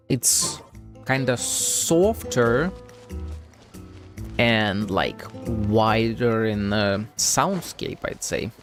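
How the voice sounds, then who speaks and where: Slow, gravelly man streaming a game. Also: on the low side. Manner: rambling, thinking aloud. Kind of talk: casual live game commentary.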